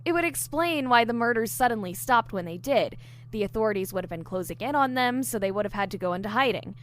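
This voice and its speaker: A woman's voice: a little high, a bit bright, a little hiss or hum. A woman playing an eager, diligent newly hired investigator in an audio drama, explaining things earnestly. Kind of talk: audio drama dialogue, explaining case theories.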